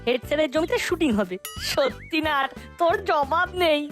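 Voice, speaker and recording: A woman voicing a cheeky ten-year-old boy, a brisk and incredulous sidekick in a children's cartoon. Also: sits high, expressive, soft background music. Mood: confident. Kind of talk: animated children's cartoon dub.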